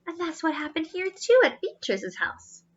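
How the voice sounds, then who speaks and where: A woman's voice: very high voice, very animated, moderate pace, lo-fi sound. A woman reading a children's storybook aloud expressively, adding explanatory asides and wondering aloud to young listeners.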